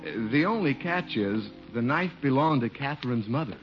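A man working as a 1950s radio announcer and sponsor pitchman. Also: expressive, moderate pace, faint music behind. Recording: muffled old radio broadcast.

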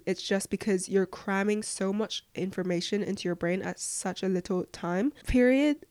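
A young woman with a voice croaky from flu, giving casual, earnest advice as a podcast host answering questions. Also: medium pitch, darkish tone, no echo. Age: young adult.

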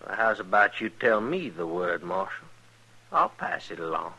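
Taunting henchman, piercing and raspy, swinging pitch, drawling mockery in an old-time radio western. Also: big pitch swings, normal speed, bright, forceful tone, exaggerated voice, rough recording. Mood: insolent, taunting. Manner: drawling, mocking. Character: insolent cowboy turned hired gunman, taunting henchman. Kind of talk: 1950s radio western acting. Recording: old radio drama recording.

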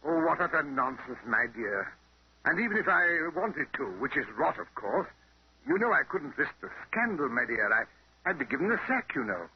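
An actor playing a pompous, evasive British husband who stammers and protests, in 1930s radio style. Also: male voice, on the high side, big pitch swings, normal speed, slightly rough, exaggerated voice. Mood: blustering denial. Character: flustered philandering English husband, pompous and evasive. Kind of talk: 1930s radio comedy-mystery acting. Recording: noisy muffled 1930s radio recording.

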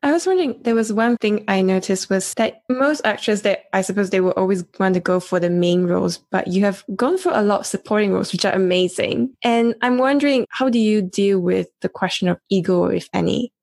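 A female interviewer from East Malaysia. Her voice is mid-pitched with plenty of fry, and she puts her questions in a casual, conversational way. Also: brisk, hardly any pauses, darkish tone.

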